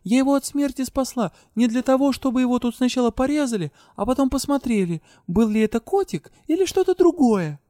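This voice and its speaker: A man's voice: very high-pitched, expressive, fairly quick, hardly any pauses, mellow, no room sound, clean studio sound, pitched above the performer's own voice. A man voicing a young female student, earnest and stubborn, pleading and insistent in an audiobook. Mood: defiant.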